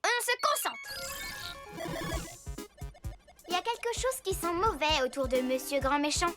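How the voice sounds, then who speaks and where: A woman's voice: extremely high pitch, big pitch swings, fairly quick, over music. A woman voicing an earnest, eager young superhero schoolchild in a children's cartoon. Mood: determined. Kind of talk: children's cartoon dub acting.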